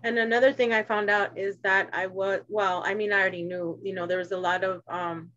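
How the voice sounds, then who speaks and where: A woman from Arizona, a guest in a casual talk, telling her story in a halting way with many restarts. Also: medium pitch, no room sound. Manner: halting, restarts, animated when quoting others. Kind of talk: casual personal conversation. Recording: dry, dull lo-fi sound.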